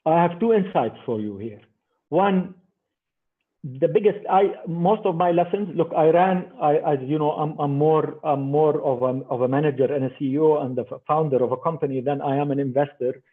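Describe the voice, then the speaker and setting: Older guy talking investing over a tinny phone-like line, kinda husky, lots of uh and repeats. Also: on the high side, moderate pace. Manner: emphatic, repeats words, many fillers. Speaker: investor guest. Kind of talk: interview over a remote call. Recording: tinny phone-like call audio.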